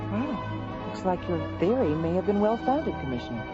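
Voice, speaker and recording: A woman's voice: mid-range voice, big pitch swings, moderate pace, natural voice. A woman in a radio drama playing a concerned, motherly member of a space station's command crew. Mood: relieved. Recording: dull lo-fi old radio recording. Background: loud music.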